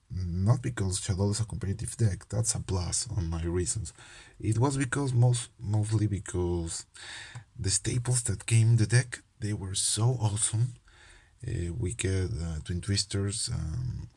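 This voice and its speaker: A man's voice: low-pitched, measured pace, vocal fry, warm tone, slightly rough. A young man from Peru with a Peruvian Spanish accent and Spanish as his first language, hosting his channel in a casual, hesitant way with many fillers and restarts. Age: young adult. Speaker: channel host, medical student. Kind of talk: casual card game video talk.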